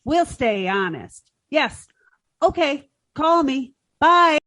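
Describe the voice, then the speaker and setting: A woman's voice: high voice, sing-song, slow, noisy background, exaggerated voice. A woman playing a naive, dim-witted but earnest young woman in an old-time radio comedy, guileless and sing-song. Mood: chirpy.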